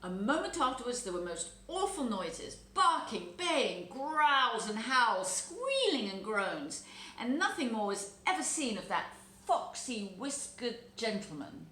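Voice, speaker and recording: Animated woman storyteller in a roomy space. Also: a little high, unhurried. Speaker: storybook reader. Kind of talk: expressive children's story narration. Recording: roomy echo, background noise.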